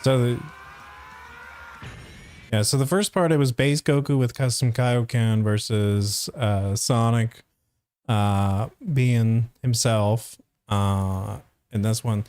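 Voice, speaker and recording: A man's voice: mid-range voice, fairly even intonation, takes it slowly, a little mellow, smooth, no room sound. A man giving casual, hesitant stream commentary, thinking aloud as he reviews a dub. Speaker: voice actor reviewing a fandub.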